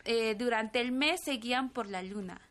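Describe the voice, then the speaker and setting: A woman's voice: fairly high pitch, normal speed, fairly bright tone. A woman in her twenties, a native Tzotzil speaker from Chiapas, answering interview questions thoughtfully with frequent fillers and tag questions. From Chiapas, Mexico. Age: 20s. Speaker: filmmaker guest.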